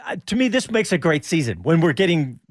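A man's voice: on the high side, big pitch swings, brisk, no echo, studio quality. A man hosting a casual motor racing talk show, chatting with his co-hosts and reading listener comments. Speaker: radio show host.

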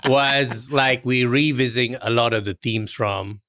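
A man's voice: mid-range voice, a little slow, pushed and bright, no room sound. A man co-hosting a podcast, chatting casually with dry, sardonic teasing. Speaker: podcast co-host. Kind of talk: casual bantering podcast chat.

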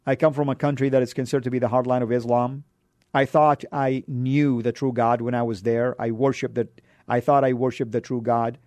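Guy preaching on his radio show, emphatic, pitch jumps up on key words, dry sound. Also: level tone, fairly quick, a little mellow, no echo. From Saudi Arabia. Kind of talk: radio sermon exhortation.

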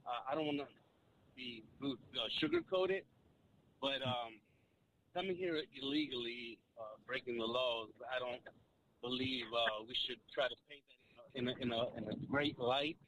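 A man calls in to a radio show and makes his point in a hesitant but firm way, with many fillers. His voice sits at an ordinary pitch. Faint background noise runs under the line. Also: normal speed, fairly bright tone, rough recording. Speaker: caller. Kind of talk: call-in comment.

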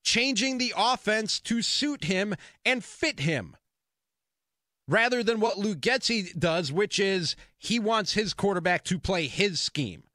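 A male sports radio host with a high, forceful voice. He argues his opinions insistently and repeats phrases to drive them home. The sound is close and dry, as in a broadcast studio. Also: animated, takes it slowly, dry recording. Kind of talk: opinionated sports talk monologue. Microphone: close broadcast studio mic.

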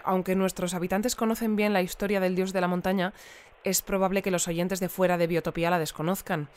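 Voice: mid-range voice, lightly acted. An actor playing an inquisitive radio news interviewer in an audio drama, asking questions in a naturalistic way.

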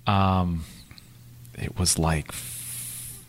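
A man's voice: sits low, level tone, drawn-out words. A man co-hosting a podcast, chatting in an easygoing way with lots of short agreements and restarts. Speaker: podcast co-host. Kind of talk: casual chat.

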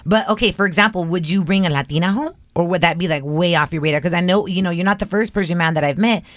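A woman's voice: fairly low pitch, fast, dry recording. Frank, dry-voiced female podcast host. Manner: direct, frank questioner who swears freely. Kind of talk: casual interview.

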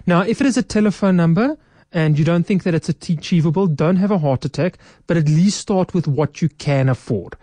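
A woman's voice: fairly low pitch, brisk, warm tone, no room sound. A woman, a financial adviser guest in a radio studio, explaining retirement saving step by step in a practical way. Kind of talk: radio studio advice talk.

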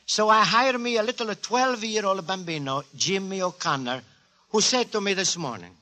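Cheerful Italian immigrant, Italian accent, broken English, high pitch, swooping earnest delivery, old radio comedy. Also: male voice, animated, moderate pace, pushed and bright. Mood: fond, chatty. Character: naive, cheerful Italian immigrant antique dealer, earnest man. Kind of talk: 1940s radio comedy acting. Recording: 1940s radio recording, live studio audience.

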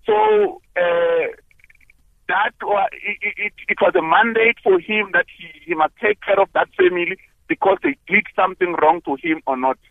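Hesitant male caller, high animated voice, dry phone-line sound. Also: normal speed, gravelly, no echo. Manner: hesitant, searching for words. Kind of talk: call-in radio talk.

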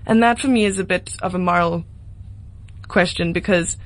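A woman's voice: lowish voice, normal speed. A woman in her twenties with a South African accent, a singer-songwriter chatting casually as a guest in a radio interview. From Cape Town, South Africa. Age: 20s.

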